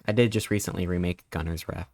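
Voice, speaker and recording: A man in his thirties, a live streamer chatting casually and greeting viewers, rambling playfully with sudden squeaky jumps in pitch. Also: fairly even intonation, quick pace, darkish tone, no room sound, studio quality. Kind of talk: casual live-stream chat reading chat messages.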